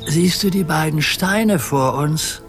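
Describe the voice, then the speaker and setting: An older actor playing a good-natured grandfather who pretends to be a ship's captain. He speaks calmly and cautiously, with gentle teasing, in a children's radio play. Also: on the high side, slow, soft background music.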